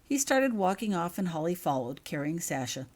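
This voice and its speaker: Lady with a lowish voice reading out her own story, audiobook style. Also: very animated, moderate pace, a little mellow. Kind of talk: scripted audiobook narration.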